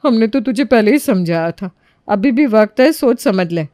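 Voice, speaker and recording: A woman's voice: on the high side, lively intonation, moderate pace, warm tone, no echo, studio quality, close to an everyday voice. A woman voicing a catty, conniving young female friend in a moral story, persuasive and judgmental.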